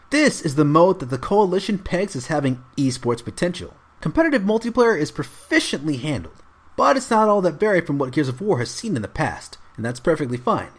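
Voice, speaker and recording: A man's voice: fairly high pitch, breathy edge. A man narrating a scripted video game review as a voice-over.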